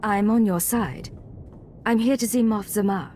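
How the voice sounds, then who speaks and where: A woman's voice: mid-range voice, unhurried, dark tone, slight background noise, lightly acted. A woman playing a cool, businesslike Imperial spy agent in a video game, controlled and clipped.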